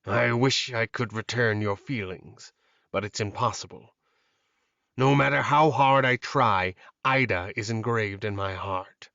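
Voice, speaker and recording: A man acts out an obsessive, lovelorn villain from a fantasy audiobook. He mutters in a slightly low, mournful voice. The sound is very dry and a little dull. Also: a little slow, gravelly, sharp tone, no room sound, heavily acted. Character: defeated, obsessive male villain, brooding and lovelorn. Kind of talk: dramatized audiobook dialogue.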